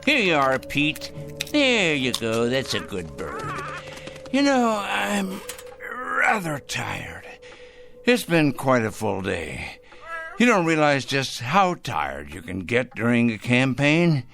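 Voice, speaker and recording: A man's voice: mid-range voice, wildly swooping pitch, takes it slowly, gravelly, music in the background, full character voice. An actor in a radio drama playing a fussy, self-righteous male fanatic who speaks in a prim, formal, emphatic way. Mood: fussy, cosy, then smug, weary zeal.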